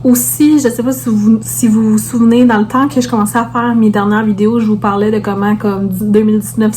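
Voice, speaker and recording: A woman's voice: on the high side, darkish tone, a little hiss or hum. A woman with a Québécois French accent chatting casually to viewers as a vlogger, informally peppering her talk with English words.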